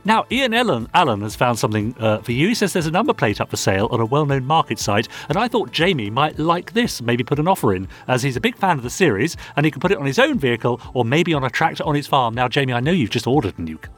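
A man's voice: medium pitch, sing-song, fast talker, hardly any pauses, a bit bright, breathy edge. A British man co-hosting a podcast, chatting casually and reading out listener messages in a teasing, chatty manner. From United Kingdom. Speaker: podcast co-host.

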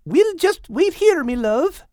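A man voicing small, gentle storybook animals with an animated, lilting delivery. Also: extremely high pitch, slow pace, no room sound, clean studio sound, pitched above the performer's own voice, exaggerated voice. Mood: curious, gentle.

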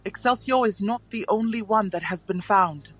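Man acting a high-voiced, earnest, worried alien warrior. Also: moderate pace, a bit bright, soft background music. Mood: grave, determined. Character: worried young alien warrior, protective of his sister. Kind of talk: audio drama acting.

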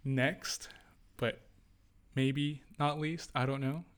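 A man's voice: medium pitch, level tone, takes it slowly, clean tone. Playful young man co-hosting. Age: young adult. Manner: playful, joking. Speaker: podcast co-host. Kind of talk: casual chat.

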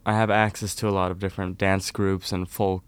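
A young man from Norway, a folk musician, chatting casually and warmly in an interview, with lots of "kind of" and "like". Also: low voice, brisk, clean tone, dry recording. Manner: relaxed, friendly, uses fillers like "kind of, like".

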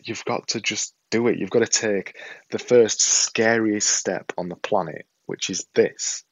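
A man's voice: lowish voice, steady tone, a little slow, no room sound, poor audio. A man with a Yorkshire accent, talking to camera at home in a casual, earnest, self-deprecating way, often repeating himself for emphasis. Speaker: vlogger and podcaster. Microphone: desktop setup with a camera and a monitor.

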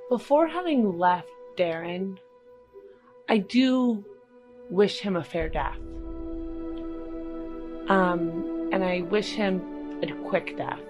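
A woman's voice: medium pitch, takes it slowly, long pauses. A woman playing a tabletop game, casually describing her character's choices in the first person. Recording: prominent music under the voice. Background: background music.

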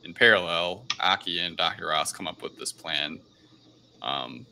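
Deep, bright-voiced man chatting casually. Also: animated, measured pace, gravelly, slight background noise. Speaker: podcast host. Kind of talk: casual film recap discussion.

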